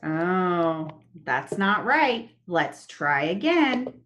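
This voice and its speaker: A young woman teaching children in an online lesson, talking slowly and in a sing-song way over a laptop webcam. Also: fairly low pitch, very animated, fairly bright tone, a little hiss or hum. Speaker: special education teacher. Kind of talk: online lesson with screen share. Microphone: laptop webcam, video call.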